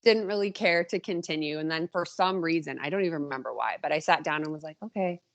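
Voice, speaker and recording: A woman's voice: deep voice, quick pace. A woman guest chatting informally and telling anecdotes, often acting out her own thoughts as she goes. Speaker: podcast guest, indie romance author. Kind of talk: casual chat, telling anecdotes.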